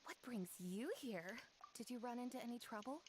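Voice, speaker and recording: A woman's voice: a little high, normal speed, lightly acted. A woman voicing a friendly, polite female clothing shopkeeper in an anime-style video game. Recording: noisy game stream capture.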